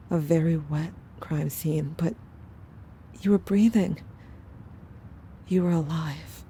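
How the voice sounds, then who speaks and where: A woman playing a weary, somber narrator, telling a first-person story in measured audio drama narration. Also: sits low, warm tone. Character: somber, weary narrator recounting haunted memories. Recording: faint ambient noise.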